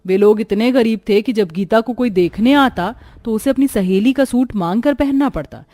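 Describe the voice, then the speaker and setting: A female narrator tells a scripted folk story. Her voice sits on the high side and is recorded close and dry, with hardly any room sound. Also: moderate pace, dark tone, no echo.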